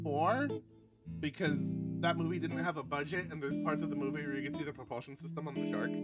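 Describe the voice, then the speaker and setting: A man streaming a game, reading aloud and chatting casually in a wry, sweary way, now and then stumbling over his words. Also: fairly high pitch. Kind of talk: casual read-aloud commentary with asides. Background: guitar music from the game.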